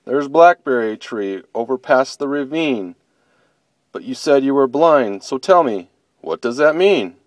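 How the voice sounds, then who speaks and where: A man's voice: mid-range voice, expressive, a little slow, no echo, lightly acted. A man voicing a grumpy, sleepy big bear who grumbles and blusters. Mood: perturbed.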